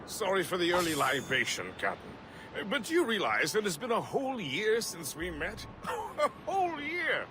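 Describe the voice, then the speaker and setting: An actor playing a jovial, tipsy, boisterous ship's first mate in a video game. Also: male voice, sits high, huge pitch swings, normal speed, noisy background. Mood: merry, tipsy. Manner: boisterous, theatrical. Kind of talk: video game cutscene acting.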